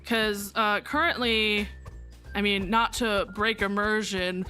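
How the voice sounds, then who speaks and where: A woman's voice: medium pitch, steady tone, takes it slowly, sharp tone. A young adult woman streaming a game, rambling candidly in casual chat, swearing freely and restarting her sentences often. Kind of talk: casual chat while gaming. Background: faint background music.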